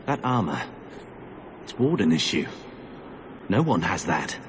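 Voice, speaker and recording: Casting: fantasy game companion, fairly low and lively, in long reverb with rumbling noise. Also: male voice, a little slow. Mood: wary. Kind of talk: video game voice acting.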